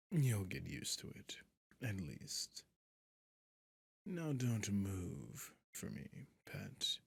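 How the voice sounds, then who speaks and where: Guy doing a low husky vampire prince, sadistic n possessive, slow menacing whispery asmr roleplay. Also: sharp tone, no room sound, full character voice. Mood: hungry, seductive.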